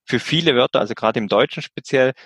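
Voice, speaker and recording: A man's voice: mid-range voice, fast talker, fairly bright tone, slightly rough, no room sound, clean studio sound. A man interviewing a translator, asking practical questions with frequent hesitant fillers.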